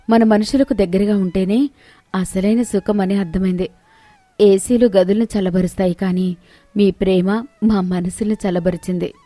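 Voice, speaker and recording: Woman playing expressive young city daughter-in-law. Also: mid-range voice, no room sound, natural voice. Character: young city-living daughter-in-law, proud turned humble.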